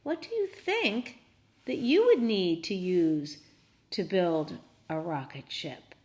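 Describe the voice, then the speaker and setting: A woman reading a story aloud to young children, animated and encouraging, often putting questions to her listeners. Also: on the low side, slow.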